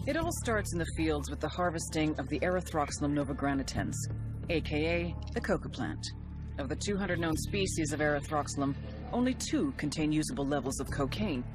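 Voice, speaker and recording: A woman playing a tough, sardonic intelligence agent in a video game, with an American accent and a blunt, punchy delivery. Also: low-pitched. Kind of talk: cinematic video game acting and briefing narration.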